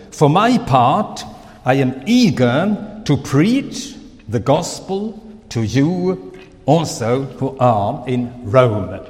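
A Finnish-born man whose first language is Swedish, preaching a sermon with slow, halting delivery and many pauses. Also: fairly high pitch, a little mellow. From Finland. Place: church hall. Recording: long reverb of a hall.

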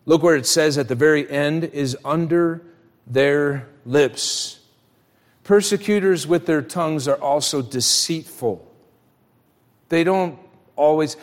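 A man preaching a church sermon as pastor, emphatic and direct, putting rhetorical questions straight to his listeners. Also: on the high side. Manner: acts out imagined dialogue. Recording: long hall reverb.

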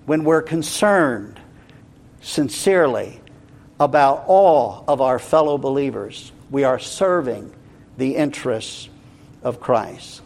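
A man preaching an expository sermon as a pastor, earnest and emphatic, pausing for effect as he explains scripture. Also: medium pitch, expressive, gravelly, darkish tone. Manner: earnest, emphatic, deliberate with dramatic pauses.

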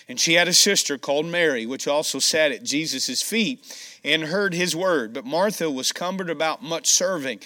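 Scratchy, bright-voiced male preacher. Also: fairly high pitch. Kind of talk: sermon with scripture reading.

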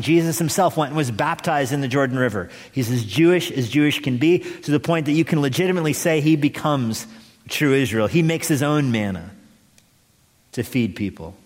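The voice is a man's, medium in pitch, ringing with long reverb in a large hall. He preaches like a pastor explaining scripture, leaning on repeated phrases and deliberate pauses for emphasis. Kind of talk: expository sermon.

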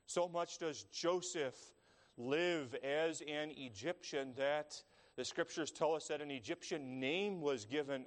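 A man preaching a sermon, speaking in slow, measured phrases with long dramatic pauses. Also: fairly high pitch. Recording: long reverb of a large hall.

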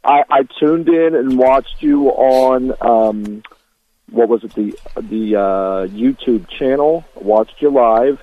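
A man's voice: mid-range voice, takes it slowly. Friendly chatty guy calling in to a radio show, thin tinny phone sound. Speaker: radio caller. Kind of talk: casual call-in chat.

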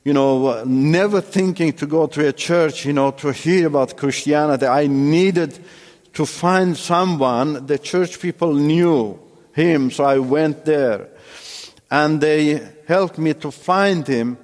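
A man from Iran whose first language is Turkish, giving a personal testimony in a halting way with many restarts. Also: a little high, a little mellow, long reverb tail. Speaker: testimony speaker.

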